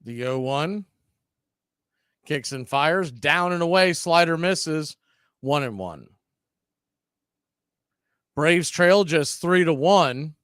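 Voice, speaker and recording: Male play-by-play announcer, slightly higher voice, blunt, opinionated, plain fan-radio caller. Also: expressive, takes it slowly, pauses often, a bit bright, clean tone, no echo, clean studio sound. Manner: opinionated, blunt asides between pitches. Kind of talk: fan-stream baseball play-by-play commentary.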